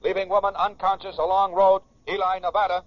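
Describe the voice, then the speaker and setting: A man's voice: high voice, level tone, fairly quick. A man reading a police bulletin in 1940s radio announcer style. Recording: noisy, muffled old radio broadcast.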